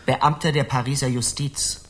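A man's voice: mid-range voice, level tone, moderate pace, lightly acted. An actor playing a harsh, scornful Parisian justice official in a German radio crime drama, curt and pompous as he interrogates. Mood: impatient, harsh.

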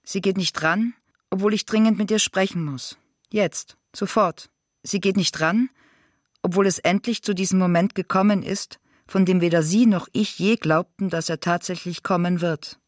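An actor playing a grown child who confronts their mother in a literary radio monologue, with deliberate, repetitive phrasing. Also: mid-range voice, fairly even intonation, no room sound, studio quality, close to an everyday voice. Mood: urgent.

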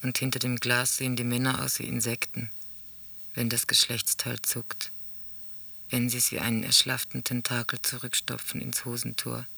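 A gravelly, obsessive monologuist. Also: male voice, medium pitch, completely flat delivery, moderate pace, pushed and bright, exaggerated voice. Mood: dark, obsessive. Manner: intense, short repetitive phrases. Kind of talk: radio drama monologue.

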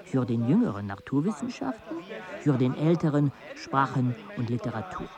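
A man narrating a radio play in a measured, even storytelling manner. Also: medium pitch, a crowd in the background. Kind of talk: scripted narration.

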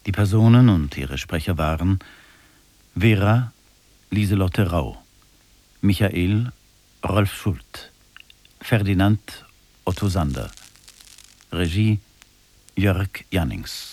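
Male radio announcer, low and slow, formal credit read, close dry sound. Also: lively intonation, a little mellow, no room sound. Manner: formal, measured. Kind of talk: scripted broadcast credits announcement.

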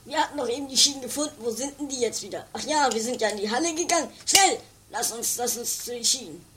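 A performer playing a bossy, excitable woman with dramatic exclamations in an improvised trash horror-comedy radio play. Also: mid-range voice, fast talker, close to an everyday voice. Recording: noisy lo-fi 1980s amateur recording.

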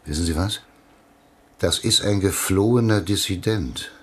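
An actor playing a blustery, fanciful middle-aged male boss in a German radio play, fussy, insistent and husky. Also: low voice, unhurried, fairly bright tone, heavily acted. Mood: opinionated. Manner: fussy, insistent, repeats himself.

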